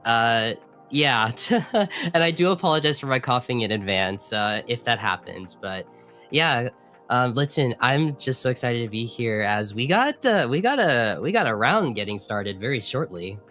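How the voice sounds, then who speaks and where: A man with a raspy voice, hoarse from a cold, doing co-commentary for a video game tournament and hesitating often with fillers and restarts. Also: mid-range voice, sing-song, measured pace, sharp tone, soft background music. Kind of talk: esports commentary.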